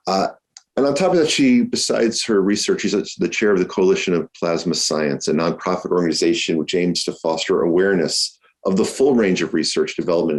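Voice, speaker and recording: A very low-voiced man hosts a lecture series over a video call. He thanks the people behind the scenes with gratitude before introducing the guest. His speech is loose and full of ums and uhs. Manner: warm, grateful, with many fillers.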